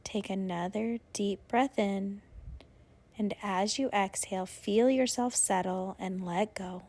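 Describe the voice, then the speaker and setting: A woman leading a guided yoga nidra relaxation, giving soothing, unhurried instructions with long pauses. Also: medium pitch, fairly even intonation, a little mellow.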